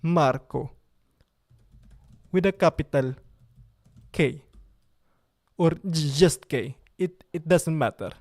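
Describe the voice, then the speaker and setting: A man's voice: fairly high pitch, slow, long pauses, darkish tone. A man with an Italian accent, hosting a casual live stream from his computer, playful and joking and repeating himself. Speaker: livestream host.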